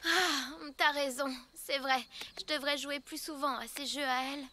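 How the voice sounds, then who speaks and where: A woman as a high-voiced, headstrong cartoon girl. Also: steady tone, normal speed, very noisy, lightly acted. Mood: exasperated. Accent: French. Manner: indignant, urgent. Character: headstrong, exasperated big sister, a young girl. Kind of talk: animated cartoon acting. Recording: loud music behind the voice.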